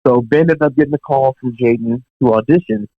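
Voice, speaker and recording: A young man of mid-range pitch tells anecdotes from his music career in a loose, conversational way. He wanders through asides and fillers and chuckles now and then. The audio is dry and a little dull, like a home podcast setup. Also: a little fast, no room sound. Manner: relaxed, chatty, many fillers and asides, chuckles while telling stories. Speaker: professional touring drummer, podcast guest. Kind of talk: casual storytelling in an interview.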